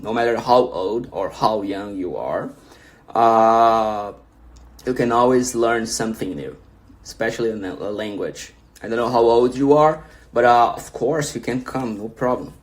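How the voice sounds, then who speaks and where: A man's voice: medium pitch, a little slow. A young man from Brazil with a Brazilian Portuguese accent, a native Portuguese speaker, hosting a casual livestream where he reads viewer questions aloud and answers them encouragingly, often restarting his sentences.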